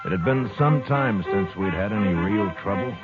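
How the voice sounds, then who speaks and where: An actor playing a calm frontier marshal in an old radio drama, narrating and speaking with a deep, unhurried manner. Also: male voice, close to an everyday voice. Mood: reflective. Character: steady frontier marshal, grown man, calm. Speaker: narrator and lead. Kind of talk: 1950s radio drama acting. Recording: lo-fi old radio recording with music.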